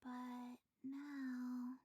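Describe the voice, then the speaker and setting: A woman voicing a timid little girl in a video game, halting and subdued. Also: high-pitched, monotone, warm tone, slightly rough, full character voice. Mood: subdued, soothing. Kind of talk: English video game voice acting.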